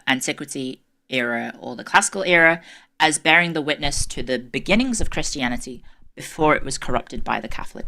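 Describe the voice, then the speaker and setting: A woman's voice: low-pitched, pushed and bright. A woman giving a casual livestream history lecture, chatty and self-deprecating with many ums, her voice strained and tired. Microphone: desk microphone, peaking at times.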